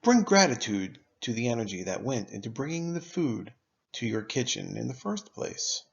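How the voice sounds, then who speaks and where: A man narrating a scripted guided meditation in a measured, instructive manner, pausing deliberately between phrases. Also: mid-range voice, very animated, gravelly, highs cut off.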